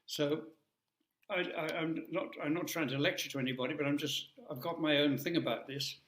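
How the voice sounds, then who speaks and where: An older man rambles through a light-hearted talk, full of jokes and asides. His voice sits a little high for a man. The room around him is noticeably echoey. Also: steady tone, a little fast, fairly bright tone. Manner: chatty, jokey, rambling with asides. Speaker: guest speaker. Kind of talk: informal talk to an audience.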